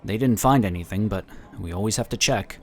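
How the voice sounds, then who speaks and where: A man acts out a calm inquisitor of a psionic order for a sci-fi audio drama. He has a fairly low voice and speaks formally and courteously, laying things out with measured care. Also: natural voice. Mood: matter-of-fact.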